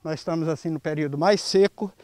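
A Brazilian man in his early sixties answering an interview earnestly and haltingly through a face mask, into a handheld microphone. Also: on the high side, a little mellow, no room sound, studio quality. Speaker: environmental volunteer leader. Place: outdoors in a grassy clearing. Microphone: handheld reporter microphone.

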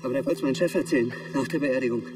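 An actor playing a cornered, self-justifying funeral worker, defensive and pleading, in a TV crime comedy. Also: male voice, medium pitch, very fast, darkish tone, distant mic, lightly acted. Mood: defensive, desperate. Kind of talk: naturalistic TV crime comedy acting.

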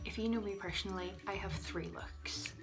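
A woman's voice: mid-range voice, fairly even intonation, fairly quick, over music. A young woman with a Northern Irish accent chatting casually to a phone camera in a small bathroom, giggling and dropping into whispered asides. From Northern Ireland. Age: young adult. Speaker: vlogger. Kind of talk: casual chat. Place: small tiled bathroom, indoors. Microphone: phone camera at arm's length.

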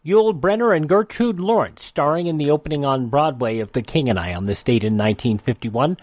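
An American man hosts a radio segment, running casually through dates and names. His pitch moves around a lot as he goes. The sound is close and dry. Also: lively intonation, a little fast, a little mellow, no echo. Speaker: radio host. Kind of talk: casual this-day-in-history rundown.

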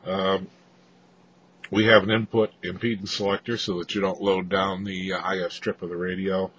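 A man giving an off-the-cuff technical walkthrough of equipment, explaining haltingly with frequent hesitations, as a hobbyist presenter. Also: low voice, a bit bright. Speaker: amateur radio hobbyist presenter. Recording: dull lo-fi audio, faint background noise.

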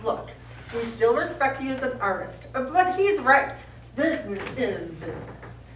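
A woman's voice: high voice, big pitch swings, measured pace. A woman on stage playing an earnest, argumentative wife with an emphatic, wordy delivery. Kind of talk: live stage play acting. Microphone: far camera. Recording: very echoey stage, distant mic, noisy.

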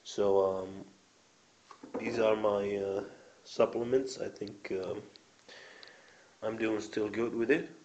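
A man's voice: fairly low pitch, fairly even intonation, slow pace, pauses often, a little mellow, highs cut off. A man casually showing his gym supplements to a camera, rambling with many fillers and blunt, crude words, recorded on a built-in mic in a small room. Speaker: vlogger. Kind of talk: casual product show-and-tell.

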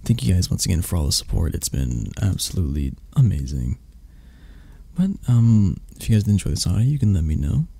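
A man's voice: deep voice, sing-song, brisk, vocal fry, dark tone, husky, dry recording. A man, an ASMR channel host, talking casually and directly to his listeners.

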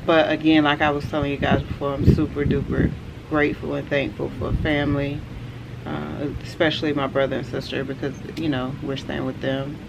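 A woman in her forties, a vlogger, chatting casually to camera on a house porch, relaxed and rambling with lots of fillers. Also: low voice, fairly quick, dull audio. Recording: outdoor camera audio with clear background noise.

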